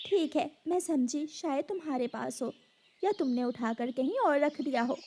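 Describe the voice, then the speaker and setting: A woman's voice: high-pitched, expressive, moderate pace, a little mellow, slight background noise. A woman voicing a rich, generous bird who is a caring household mistress in a children's cartoon. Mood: calm, concerned. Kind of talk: Hindi children's moral cartoon dialogue.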